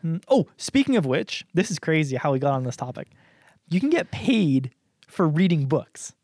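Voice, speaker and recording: A young man from Canada co-hosting a casual podcast, his voice sitting slightly high. He talks loosely, swears freely and laughs often. The sound is dry and close, with no room in it. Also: big pitch swings, normal speed, a little mellow, no echo. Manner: laid-back, swears a lot, laughs often. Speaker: podcast co-host. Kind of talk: casual chat.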